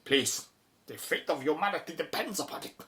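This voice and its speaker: Actor's grave, formal warning voice, bright and forceful. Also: medium pitch, a little fast, close to an everyday voice. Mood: grave, urgent warning. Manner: formal, solemn, precise. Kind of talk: audio drama acting.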